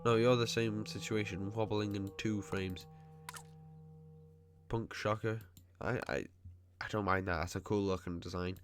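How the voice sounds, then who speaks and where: Guy with a cold, kinda nasal and stuffy, lowish voice, nitpicky chatty game commentary. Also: fairly even intonation, soft background music. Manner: nitpicking, chatty, quick asides. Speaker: gaming video host. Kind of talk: casual gameplay commentary.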